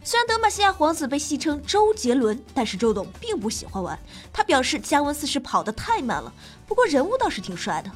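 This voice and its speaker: A woman's voice: high-pitched, sing-song, a little fast, hardly any pauses. A woman host reading scripted gaming narration in a playful, dramatic storytelling manner. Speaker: game show host and streamer. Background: background music.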